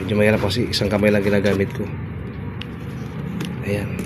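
A man's voice: lowish voice, monotone, fairly quick, very noisy. A middle-aged Filipino man chatting casually through an unboxing vlog, repeating short phrases. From Philippines. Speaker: barber vlogger.

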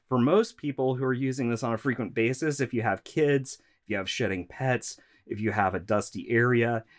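A man talks through vacuum upkeep in a practical, conversational way. His voice is a little stuffy from allergies and sits at a middle pitch. The sound is dry, with little room echo. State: congested from allergies. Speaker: vacuum shop host. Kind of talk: product maintenance tutorial. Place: shop workroom full of vacuums.

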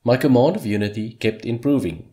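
A man whose first language is Afrikaans, narrating a scripted look back on a year of hobby game development with measured delivery and dry humor. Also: mid-range voice, warm tone.

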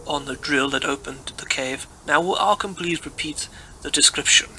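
Guy playing male expedition scientist on the radio, bit high voice, halting clipped reports, noisy recording, audio play. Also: bright, forceful tone. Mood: excited, awed. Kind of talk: amateur audio drama acting, dictated report. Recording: clearly noisy background.

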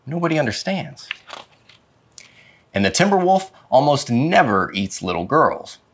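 A man reading a children's picture book aloud with dramatic, expressive phrasing. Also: mid-range voice, unhurried, slight background noise. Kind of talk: expressive storybook read-aloud. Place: indoor studio with plain dark backdrop.

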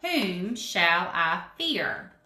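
A woman's voice: lowish voice, big pitch swings, very slow, sharp tone, echoey room, heavily acted. A woman voicing an earnest, knowing young boy bear scout in a storybook, reciting what he has learned. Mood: earnest, reassuring.

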